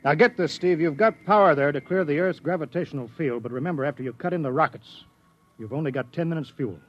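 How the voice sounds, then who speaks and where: A man's voice: a little high, fast, lightly acted. A man acting in a 1950s radio drama, playing a serious, cautious flight test director who gives earnest instructions. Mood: firm, cautioning. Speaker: radio actor. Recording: muffled, noisy old radio broadcast.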